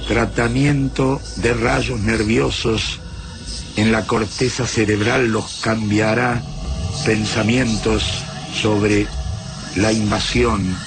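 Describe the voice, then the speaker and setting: An actor playing a cold, methodical alien captor in a science fiction radio drama, speaking solemnly in halting, broken syntax. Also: male voice, on the low side, steady tone. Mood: cold, explanatory. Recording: music behind the voice.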